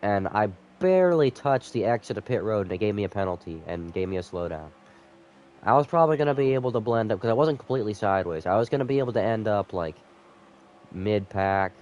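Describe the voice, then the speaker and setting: A man's voice: on the low side, animated, fast talker, darkish tone. A man sick with a cold, slightly nasal, rambling casually to viewers as he commentates a live game stream.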